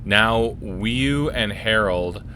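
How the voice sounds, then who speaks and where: A man's voice: fairly low pitch, very slow, bright, forceful tone, slight background noise. A man hosting a casual let's play, talking in a folksy, jokey way into a close mic. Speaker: host of gaming videos.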